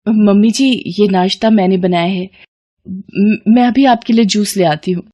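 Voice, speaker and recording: A woman's voice: mid-range voice, steady tone, measured pace, dark tone, lightly acted. A woman voicing a meek, dutiful young daughter-in-law, polite and deferential, in a family story. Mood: polite, eager.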